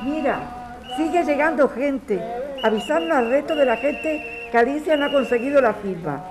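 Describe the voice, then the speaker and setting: Woman doing calm spanish activist neighbour in audio drama, encouraging, noisy background. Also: sits high, moderate pace. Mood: matter-of-fact. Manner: reassuring, matter-of-fact. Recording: clearly noisy ambience.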